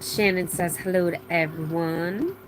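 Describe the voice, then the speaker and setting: A woman's voice: on the low side, a little slow, noisy background. A middle-aged woman casually chatting on a live stream, reading out viewer comments with lots of fillers, her voice a little strained after laryngitis. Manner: chatty, rambling, many fillers and restarts. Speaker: livestream host.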